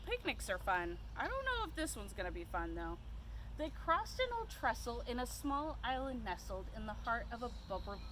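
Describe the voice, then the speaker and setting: A woman's voice: a little high, fairly bright tone, rough recording. A woman reading a children's picture book aloud outdoors, expressively, with asides to young listeners. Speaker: storytime reader. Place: outdoors by a playhouse. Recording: clear outdoor background noise.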